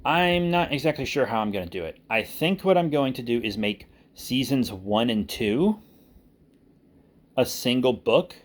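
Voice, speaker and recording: A man's voice: medium pitch, expressive, a little slow. A man giving a casual, rambling update to viewers as an online creator, informal and often correcting himself as he thinks aloud. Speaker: online video creator and writer.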